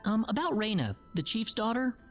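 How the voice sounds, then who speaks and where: Curious young game sidekick, concerned, animated voice, music behind. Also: female voice, fairly high pitch, normal speed. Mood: curious, surprised. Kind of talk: video game dialogue acting.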